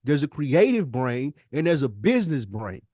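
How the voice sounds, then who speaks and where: A man's voice: medium pitch, very animated, moderate pace, a little mellow, dry recording. Insistent American man in heated debate, dry sound. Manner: emphatic, insistent, slangy, lecturing. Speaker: podcast co-host.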